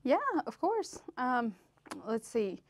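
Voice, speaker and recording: A woman from southern Mexico, a podcast guest, telling her life story in a relaxed way with many ums. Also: on the high side, takes it slowly, no echo, studio quality. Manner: relaxed, frequent ums and uhs. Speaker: podcast guest, medical interpreter. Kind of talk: casual storytelling.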